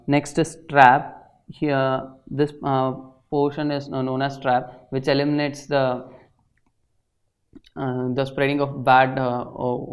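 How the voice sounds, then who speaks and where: A young man gives an explanatory lecture in a halting, hesitant way, with frequent filled pauses. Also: medium pitch. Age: young adult. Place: indoor studio at a desk. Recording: slightly dull, lo-fi sound.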